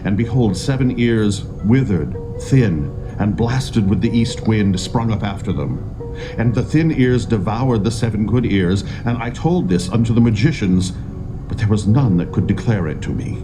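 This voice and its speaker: An actor playing a grave, regal king of ancient Egypt in a dramatised scripture reading, speaking in a stately, deliberate manner. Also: lowish voice, music in the background, natural voice, rough recording. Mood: troubled, grave.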